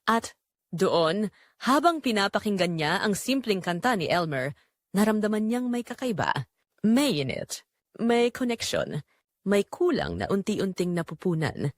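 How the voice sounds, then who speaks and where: A Filipino woman tells a scripted dramatic story in a mid-pitched voice. Her intonation moves widely from phrase to phrase. The sound is dry, with hardly any room on it. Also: very animated, a little slow, no echo. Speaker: storyteller and channel narrator.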